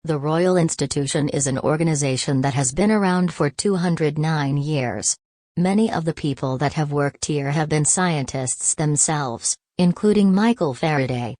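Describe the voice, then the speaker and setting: A woman with a low voice reads practice passages aloud. The delivery is scripted, as for a language test exercise. The sound is dry, with very little room in it. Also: moderate pace, darkish tone, dry recording. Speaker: reader of test practice texts.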